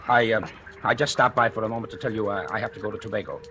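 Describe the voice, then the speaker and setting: An actor playing a suspicious, wealthy betrayed husband in an old radio drama, halting, urbane and bitterly polite. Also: male voice, fairly low pitch, sharp tone, slight background noise. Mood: nervous civility. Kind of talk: 1940s radio drama acting. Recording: vintage radio broadcast, faint music.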